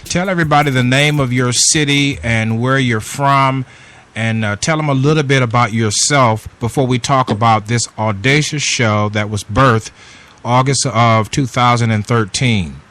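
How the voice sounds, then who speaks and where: A man's voice: medium pitch, moderate pace, fairly bright tone. Sing-song, preacherly male radio host. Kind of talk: radio interview.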